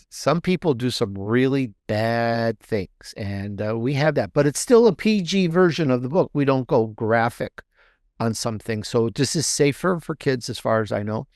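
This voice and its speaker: A man's voice: medium pitch, a little mellow, dry recording, studio quality. A man speaking as an interview guest in a casual, rambling storytelling way, often rephrasing himself mid-thought. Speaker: podcaster and author guest.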